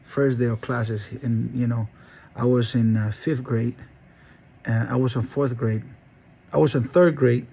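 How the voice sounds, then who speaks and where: A man's voice: mid-range voice, steady tone, measured pace, vocal fry, dark tone, slight background noise. A middle-aged man from Honduras, telling his life story in an earnest, rambling monologue full of restarts. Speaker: dog breeder and channel host. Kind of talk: personal monologue.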